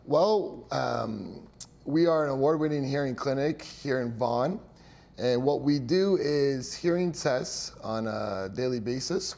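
A man in his thirties with a loud voice, a hearing specialist explaining his work in a casual interview, hesitant with many fillers, on a lapel mic. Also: mid-range voice, vocal fry. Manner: hesitant, many fillers, laughs at own jokes. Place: small dark studio set, seated.

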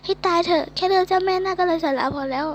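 A woman's voice: sits high, brisk, lightly acted. A woman reading the part of a stern, exasperated teacher who questions a student bluntly, in a comic read-aloud.

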